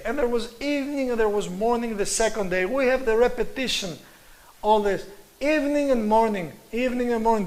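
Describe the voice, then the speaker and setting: A middle-aged man with a Greek accent giving a religious lecture on scripture in halting English, emphatically repeating key words. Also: high-pitched, darkish tone, low-quality recording. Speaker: Bible teacher giving a presentation.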